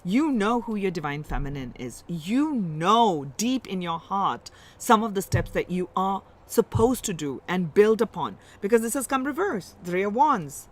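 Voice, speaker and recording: A woman's voice: medium pitch, big pitch swings, measured pace, hardly any pauses. A woman with an Indian accent giving a live tarot reading, musing aloud and reassuring her listeners, often breaking off and restarting. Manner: musing, warm, reassuring, with self-interruptions. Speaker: tarot reader.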